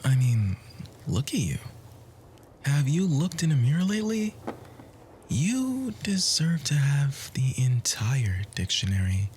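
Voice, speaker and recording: Man acting a slow-talking, soft, flirty bookish charmer. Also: mid-range voice, huge pitch swings, a little hiss or hum. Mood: smitten, flirtatious. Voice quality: soft, breathy, often near a whisper. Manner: formal, wordy, gently hesitant flirting. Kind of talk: ASMR romance roleplay.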